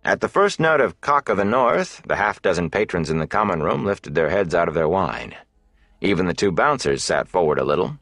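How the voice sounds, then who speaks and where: A man narrating a fantasy audiobook, telling the story in a measured, deliberate, dramatic way. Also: low voice, very animated, gravelly, fairly bright tone, no room sound, clean studio sound.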